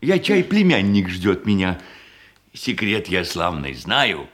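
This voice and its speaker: Blustering, good-natured comedy gentleman: theatrical verse, highly varied intonation, measured pace. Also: huge pitch swings, exaggerated voice. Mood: teasing, secretive. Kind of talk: theatrical Russian radio comedy acting in verse.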